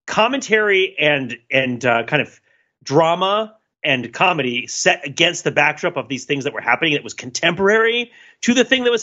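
Bright, higher-voiced middle-aged American man. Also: lo-fi sound. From United States. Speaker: podcast co-host. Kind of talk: casual discussion and cultural commentary.

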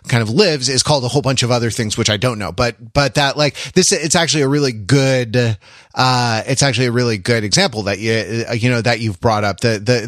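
A man's voice: medium pitch, fairly bright tone, dry recording, studio quality. A man co-hosting a podcast, talking casually and analytically, searching for words and rephrasing himself as he goes. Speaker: podcast co-host.

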